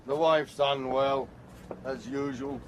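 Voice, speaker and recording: A man's voice: medium pitch, steady tone, slow pace, noisy background, close to an everyday voice. Dour, jaded police inspector played by a man. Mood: glum, matter-of-fact. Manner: terse, morose. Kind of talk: radio crime drama acting.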